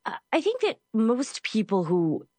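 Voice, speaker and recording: A woman hosting an interview, asking curious, quick questions. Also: on the high side, wildly swooping pitch, darkish tone, dry recording. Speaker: host.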